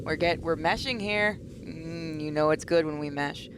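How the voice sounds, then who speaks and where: A woman hosting a gaming video, reading a visual novel aloud dramatically with playful, expressive asides. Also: sits low, moderate pace, noisy background.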